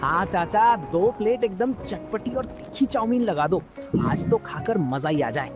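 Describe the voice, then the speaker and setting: A man's voice: high-pitched, lively intonation, unhurried. A man playing an enthusiastic, admiring young village customer in a cartoon story. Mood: delighted. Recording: music under the voice.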